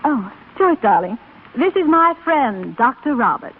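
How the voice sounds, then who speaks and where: An actress playing a gentle blind woman, brave yet yearning and despairing, in a 1930s radio drama. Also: sits high, big pitch swings, unhurried, husky, full character voice. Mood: flustered. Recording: scratchy, phone-like 1930s radio broadcast recording.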